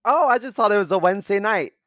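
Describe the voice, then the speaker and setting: A man co-hosting a podcast, congested and losing his voice, with dry, deadpan jokes. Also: high-pitched, fast talker, no room sound, lo-fi sound. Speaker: podcast co-host. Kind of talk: casual chat.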